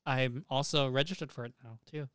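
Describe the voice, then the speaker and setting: A man's voice: mid-range voice, fairly even intonation, brisk, fairly bright tone, smooth, dry recording, studio quality. A young adult man hosting a casual podcast, chatting in an enthusiastic, informal way with plenty of swearing. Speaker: podcast host.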